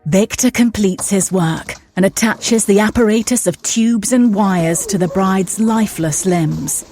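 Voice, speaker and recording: A woman narrating a gothic audio drama as a solemn storyteller, measured and literary. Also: medium pitch, dark tone. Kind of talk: scripted narration.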